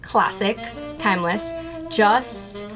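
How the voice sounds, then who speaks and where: A woman's voice: medium pitch, steady tone, slow pace, over music. A woman talking to camera about her tarantulas, chatty and playful, asking herself questions and then answering them. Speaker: tarantula keeper vlogger. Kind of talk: casual scripted talk to camera.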